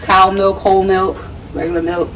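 A woman's voice: medium pitch, level tone, normal speed, a bit bright. A woman narrating a grocery haul casually, as a home vlogger. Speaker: vlogger, mother. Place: home kitchen, indoors. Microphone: camera mic at a short distance. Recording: distant mic, clearly noisy home recording.

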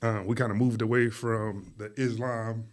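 A man raised in Philadelphia, whose first language was Arabic, talking casually as a podcast guest in a school studio, telling his story in a relaxed way with many fillers. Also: lowish voice, moderate pace. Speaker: guest, artist and art teacher.

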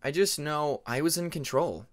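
A man voicing a troubled, apologetic young male dragon student who speaks haltingly. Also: on the high side, lightly acted. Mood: hesitant, evasive. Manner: hesitant, halting.

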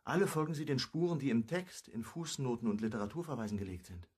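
An actor playing a hunted, wry amateur sleuth, narrating and acting in a crime radio play in a clipped, brooding way. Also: male voice, animated, quick pace, no room sound, clean studio sound. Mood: anxious brooding. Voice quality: low, husky. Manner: clipped, brooding, first-person telling.

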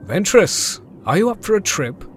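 A man playing a patient, earnest Jedi master, calm and persuasive, in a sci-fi audio drama. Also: sits high, normal speed, soft background music. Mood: assured. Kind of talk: fan audio drama acting.

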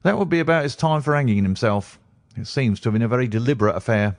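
A hearty police inspector speaks up loudly and matter-of-factly, pitched above the reader's own voice. A man plays him in a dry, close audiobook reading. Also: dry recording, natural voice. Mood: confident. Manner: brisk, loud, matter-of-fact. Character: hearty, self-assured male police inspector.

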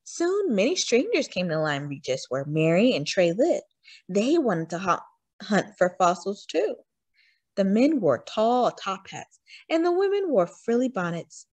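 A woman reading a children's picture book aloud in an expressive storytelling manner, drawing out words for emphasis. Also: medium pitch, slightly rough, dry recording. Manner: animated storytelling with drawn-out emphasis. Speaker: storytime reader.

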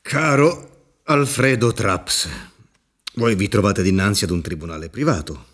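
An actor playing a ceremonious, pompous judge of a private court in a radio drama. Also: male voice, lowish voice, unhurried, a bit bright, breathy edge, full character voice. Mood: solemn, grandiose.

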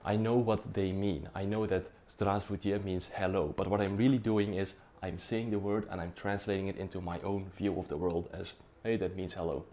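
A man's voice: fairly low pitch, mellow. A young man whose first language is Dutch, explaining at a whiteboard in a casual way and often checking in with "right?" and "okay?". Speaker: language-learning YouTuber. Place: small dim room indoors.

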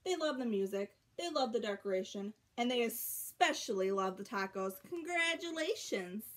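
A young woman with a fairly high voice reads a picture book to children. She is expressive, stretching key words and pausing for dramatic effect. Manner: expressive, drawn-out emphasis with dramatic pauses. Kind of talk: animated children's picture-book read-aloud. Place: small bedroom at home.

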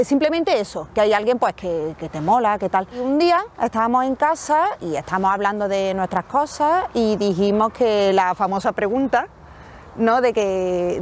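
A woman's voice: mid-range voice, slight background noise. A woman, openly telling her relationship story in an outdoor interview. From Córdoba, Spain. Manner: open, chatty, quick asides. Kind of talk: personal account. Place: park bench outdoors.

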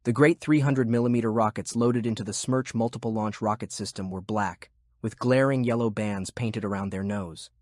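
A man with a husky voice reading scripted story narration for an audiobook. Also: on the low side, fairly even intonation, moderate pace, a little mellow, dry recording, studio quality.

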